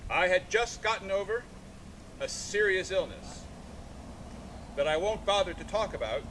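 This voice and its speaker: A man reads literature aloud outdoors in a rather high voice that rises and falls widely. He declaims in short, separated phrases, with some vehicle noise behind him. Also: expressive, normal speed. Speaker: artist reading aloud and taking questions. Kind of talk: live literary reading. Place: outdoors in a sculpture park. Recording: noisy outdoor recording with vehicle rumble.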